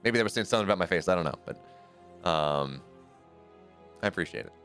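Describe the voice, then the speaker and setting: A man's voice: lively intonation, a little fast, fairly bright tone, faint music behind. A man casting a strategy game live, talking casually with his chat between plays and often restarting his sentences. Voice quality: deep radio-style voice. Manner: conversational, rambling asides with frequent restarts. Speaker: esports caster.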